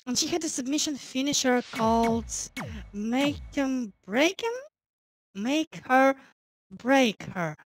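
A woman's voice: sits high, takes it slowly, background noise. A woman giving rambling, casual commentary over a wrestling video game, with many fillers. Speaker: gameplay commentator.

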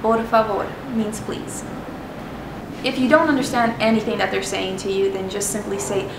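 A woman's voice: mid-range voice, fairly even intonation, moderate pace, roomy sound, far from the mic, background noise. A young woman, Spanish her first language, chatting casually to camera as she teaches travel phrases, with frequent ums and restarts. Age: young adult. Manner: chatty, self-deprecating, many ums and restarts. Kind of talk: casual explanatory lesson to camera.

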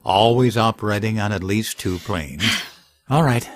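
An actor playing a confident, wealthy industrialist adventurer in a radio drama. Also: male voice, on the low side, unhurried, a little hiss or hum, natural voice. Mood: teasing.

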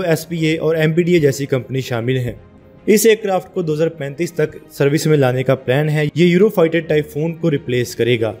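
A man from India with a Punjabi accent, narrating a scripted defence news explainer as a steady voice-over. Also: fairly high pitch.

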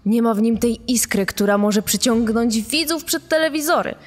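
An actress playing a critical, dismissive TV production manager in a dramatised podcast. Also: female voice, fairly high pitch, normal speed, lightly acted. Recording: long reverb, music behind.

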